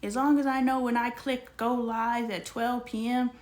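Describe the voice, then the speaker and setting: Animated woman chatting live to viewers. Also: fairly high pitch, moderate pace. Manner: animated, slangy, talks straight to the chat. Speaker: livestream host. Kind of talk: casual livestream chat with viewers.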